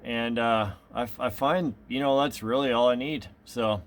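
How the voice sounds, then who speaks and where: A man's voice: medium pitch, fairly even intonation, moderate pace, very noisy. A man chatting casually as a radio hobbyist, easygoing and rambling in his delivery. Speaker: amateur radio operator.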